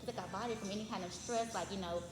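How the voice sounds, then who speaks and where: Woman guest, light voice, quick and friendly, echoey room with music under. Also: mid-range voice, noisy background. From Memphis, Tennessee. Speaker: guest, herbal tea business owner. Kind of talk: casual podcast interview.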